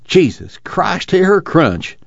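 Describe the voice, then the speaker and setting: A man voicing a blunt, coarse, plainspoken farm fellow in rough rustic dialect for an audiobook novel. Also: high-pitched, very animated, slow, no room sound, highs cut off, pitched above the performer's own voice, full character voice. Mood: relishing.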